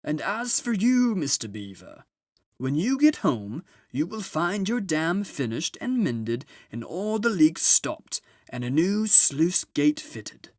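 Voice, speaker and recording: A man voicing a big, solemn, kindly old gift-giver in a storybook, with slow, booming, grand proclamations. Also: sing-song, darkish tone, no echo, clean studio sound.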